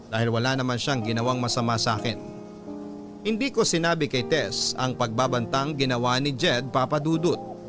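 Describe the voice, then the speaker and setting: Filipino man narrating over background music. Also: medium pitch. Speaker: radio storyteller. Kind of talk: first-person dramatic scripted narration of a letter.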